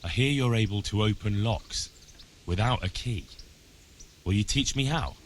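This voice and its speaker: Man playing low-voiced, curious medieval apprentice. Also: normal speed, a little hiss or hum, natural voice. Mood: inquisitive. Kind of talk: acted game dialogue.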